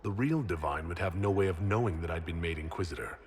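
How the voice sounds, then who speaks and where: A man's voice: deep voice, quick pace, a little mellow, natural voice. A man voicing a resolute, sceptical party leader in a fantasy game, direct and questioning. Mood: suspicious. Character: resolute, sceptical male hero leading the party.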